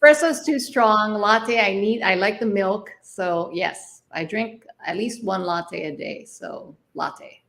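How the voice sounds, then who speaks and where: A woman's voice: medium pitch, slow, hardly any pauses, fairly bright tone. A middle-aged woman from Hawaii, a jazz artist speaking as a guest in a casual interview, thoughtful, with many fillers and restarts.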